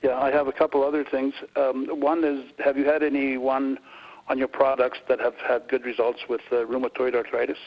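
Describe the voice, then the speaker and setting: A man's voice: mid-range voice, little pitch movement, fairly quick, muffled recording. An Italian-born man calling into a radio show, asking hesitant questions full of fillers and stammers. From Italy. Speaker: radio caller. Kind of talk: casual phone-in questions.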